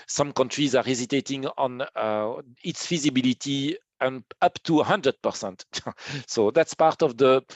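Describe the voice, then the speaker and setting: Hoarse man presenting with many pauses. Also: medium pitch, no room sound. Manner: hesitant, many fillers and repeated words. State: hoarse from a cold, losing his voice. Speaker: policy presenter. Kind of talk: webinar presentation.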